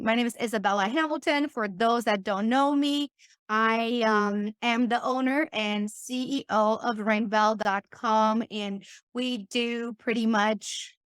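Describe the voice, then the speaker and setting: A bubbly woman from Romania, chatting as a podcast guest and getting hyped up easily. Her voice sits a little high. The sound is dry and close to the mic. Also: clean tone, no room sound. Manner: excitable, bubbly, laughs often. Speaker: podcast guest, business owner. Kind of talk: casual interview talk.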